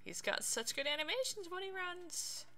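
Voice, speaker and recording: A woman's voice: extremely high pitch, big pitch swings, moderate pace, bright, forceful tone. A woman streamer giving casual, playful game commentary, sometimes theatrical in delivery. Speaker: streamer, let's play host.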